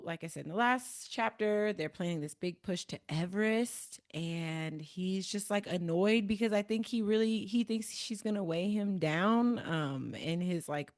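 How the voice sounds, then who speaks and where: A woman's voice: mid-range voice, darkish tone. A woman with an American Midwest accent, co-hosting a podcast and casually chatting about a book, laughing often and using lots of fillers. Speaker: podcast co-host.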